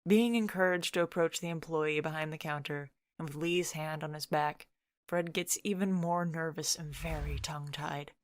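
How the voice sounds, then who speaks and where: A woman's voice: sits low, steady tone, moderate pace, a little hiss or hum. A woman reading scripted third-person fiction as a story narrator.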